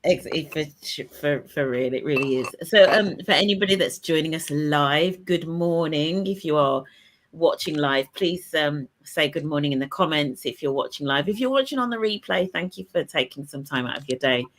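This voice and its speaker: A woman hosting a live online show in a loose, chatty way, her speech full of "um"s and restarts. Her voice sits a little low for a woman. She talks in an unscripted, conversational manner. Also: moderate pace. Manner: chatty, many fillers and restarts. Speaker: host. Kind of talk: casual live-stream chat.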